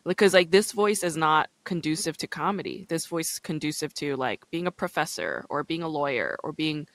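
A woman with a low register voice hosting a podcast in casual chat. She fills her talk with "like" and "you know.". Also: animated, brisk. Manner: chatty, frequent "like" and "you know". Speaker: podcast host and stand-up comedian.

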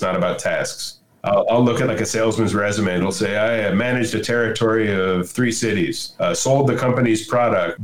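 An older man, a podcast guest, chatting casually in a folksy, opinionated storytelling way. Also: lowish voice. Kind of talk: casual conversation. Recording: roomy echo.

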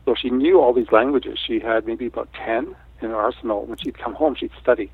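A middle-aged man from Canada, Italian as his first language, talking in a thoughtful, unhurried way with frequent restarts in an interview. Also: mid-range voice, steady tone, breathy edge. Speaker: guest artist. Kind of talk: casual interview talk. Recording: tinny phone line.

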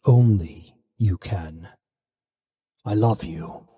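A man voicing a solemn, loving pirate father facing death, speaking slowly and low in an audiobook. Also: dark tone, husky, long reverb tail, heavily acted. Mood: solemn, tender.

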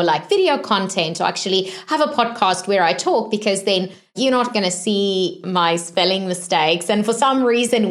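A woman whose first language is Afrikaans hosts the conversation in a chatty, easygoing way. She laughs easily and pokes fun at herself, and her voice sits at a middle pitch. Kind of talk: podcast interview conversation.

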